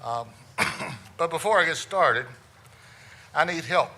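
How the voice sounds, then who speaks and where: Middle-aged funeral director, loud, resonant, bright-toned, slightly higher voice, folksy joking speaker, faint hiss. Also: male voice, animated, takes it slowly. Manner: loud, folksy storyteller with self-deprecating jokes. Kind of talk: humorous presentation to a lawyers' audience.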